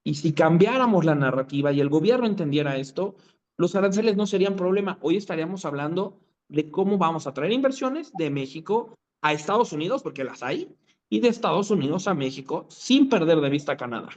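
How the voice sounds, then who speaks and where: A man with a notably high voice speaks emphatically, driving his points home through repetition. The audio is muffled and lo-fi, as on a video call. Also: expressive, brisk, a little mellow. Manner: emphatic, repeats points for effect. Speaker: guest. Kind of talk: conversational commentary.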